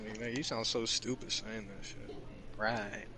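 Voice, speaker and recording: A man's voice: on the low side, noisy background. A man of nineteen teasing and arguing with a friend in casual chat while gaming. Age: young adult. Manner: teasing, argumentative, swears freely. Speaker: host.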